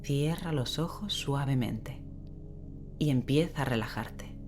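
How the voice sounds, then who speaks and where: A woman leading a guided sleep meditation in a gentle, unhurried way with long pauses, giving slow, soothing instructions. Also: very deep voice. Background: relaxing rain sound and soft music.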